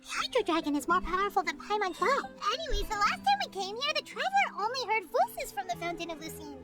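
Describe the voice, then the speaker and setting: Squeaky, very high little fairy girl. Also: sing-song, fast, over loud music. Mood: chirpy, eager. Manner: childlike, refers to herself in the third person. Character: tiny chirpy fairy companion girl, eager and talkative. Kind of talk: video game cartoon acting.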